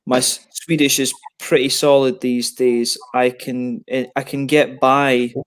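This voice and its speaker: Man, 30s, casual rambling hangout talk over a headset, mid-pitched and relaxed, frequent self-corrections. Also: a little slow, clear, resonant tone. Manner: rambling, many restarts and fillers. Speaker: beer reviewer on a video hangout. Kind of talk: casual chat.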